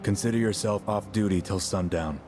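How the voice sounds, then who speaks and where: An actor playing a cold, enigmatic elite soldier in a video game cutscene, speaking in a measured, detached way. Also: male voice, fairly low pitch, noisy background, lightly acted. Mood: commanding. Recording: loud music behind the voice.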